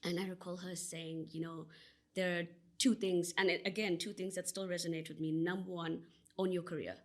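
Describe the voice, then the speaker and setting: A woman's voice: fairly low pitch, little pitch movement, brisk. A South African woman answering as a podcast guest, earnest and expansive, with frequent "you know" fillers. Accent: South African English. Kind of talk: conversational interview answer.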